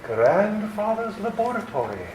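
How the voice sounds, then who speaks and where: Casting: man to play menacing radio-play villain, theatrically courteous and bossy, animated melody, roomy sound with faint noise. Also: high voice, a little slow. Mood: sinister, scheming. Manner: mock-courteous, commanding, theatrical. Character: menacing, scheming criminal nephew with a changed face. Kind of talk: 1940s-style radio theater acting. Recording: echoey room with faint noise.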